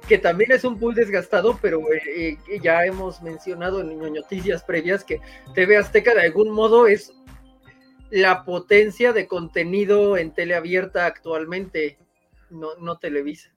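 A man's voice: high voice, normal speed, a bit bright. A man with a Mexican accent giving casual, reflective commentary as co-host of a gaming news show. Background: music behind the voice.

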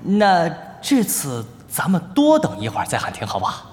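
A man's voice: fairly high pitch, wildly swooping pitch, moderate pace. A man playing a flustered young event host in a radio drama, polite and coaxing, with hesitant announcing. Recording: echoey arena reverb over loud music.

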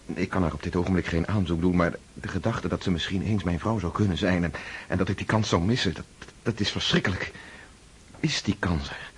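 An actor playing an anxious, lovesick young nobleman in a radio play, speaking in halting, stammering bursts. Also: sits low, a little hiss or hum, lightly acted. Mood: pleading.

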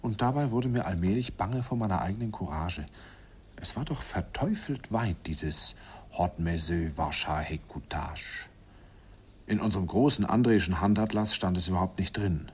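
A man's voice: deep voice, lively intonation, normal speed, full character voice. An actor playing a middle-aged man who wistfully recalls his youth, telling it in the first person in an old radio play. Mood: wistful, amused. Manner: reflective storytelling. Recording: old radio recording, clear background noise.

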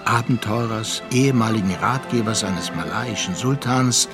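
An actor narrating a literary radio play as a seasoned seafarer, reflective and measured. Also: male voice, on the low side, natural voice. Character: seasoned male seafarer recounting a troubled younger friend's story. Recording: studio radio play with music underneath. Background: music.

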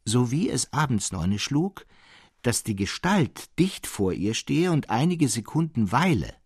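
A man reading a classic literary tale as scripted narration, in an expressive, precise storytelling manner. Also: medium pitch, slightly rough, no room sound, clean studio sound. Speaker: audiobook narrator.

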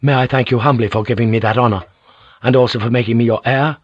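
A man's voice: medium pitch, steady tone, quick pace, husky, dry recording, lightly acted. A man voicing a deferential, cautious samurai nephew and heir, humble and formal, in an audiobook, slightly muffled. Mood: humble gratitude. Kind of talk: audiobook character acting.

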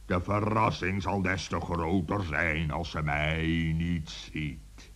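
An actor playing a kind, dignified giant in a children's radio play, solemn and measured. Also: male voice, low voice, flat delivery, fairly bright tone, full character voice. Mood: solemn, kindly.

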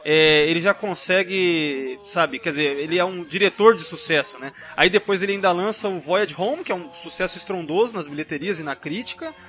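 Bright, high-voiced Brazilian man chatting. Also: brisk, hardly any pauses, muffled recording. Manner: rambling, full of tag questions. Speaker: podcast panelist. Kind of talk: casual chat, film and TV trivia discussion. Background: background music.

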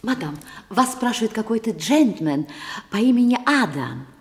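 An actress playing a deferential maid in a radio play. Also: female voice, a little high, sing-song, normal speed, slight background noise. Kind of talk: Russian radio play acting. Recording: echoey hall, long reverb.

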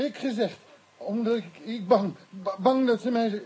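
An actor playing a timid, evasive small African man under questioning in a radio drama, halting and stammering in broken foreigner's Dutch. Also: high-pitched, darkish tone, breathy edge, heavily acted. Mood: frightened, pleading.

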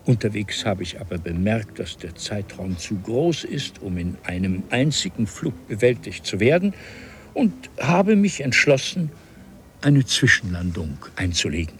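An old, learned traveller from a vanished civilization in a radio drama. His low voice moves in lively, sweeping contours through ornate, old-fashioned courtesies. A noticeable hiss of noise lies under the recording. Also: unhurried. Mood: earnest, explaining. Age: older. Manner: formal, archaic, ornate address. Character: elderly courteous scholar time traveller from an ancient civilization. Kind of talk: German radio play acting. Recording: 1970s radio play with audible noise.

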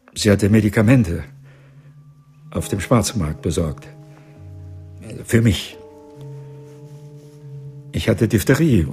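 An actor playing an older man weighed down by grief and guilt, speaking slowly and haltingly in a German radio crime drama. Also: fairly low pitch, mellow. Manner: slow, halting, heavy delivery. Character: aged, grief-stricken father figure burdened by wartime guilt.

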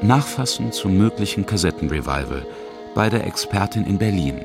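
A man's voice: sits low, lively intonation, moderate pace, creaky. A man narrating a scripted radio feature, putting questions as he goes. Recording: music under the voice. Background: music.

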